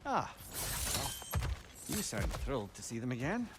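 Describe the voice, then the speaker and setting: An actor playing a wry, clever old advisor in a video game, chatty and droll. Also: male voice, medium pitch, wildly swooping pitch, normal speed, heavy background noise. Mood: droll, musing. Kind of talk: cinematic video game acting.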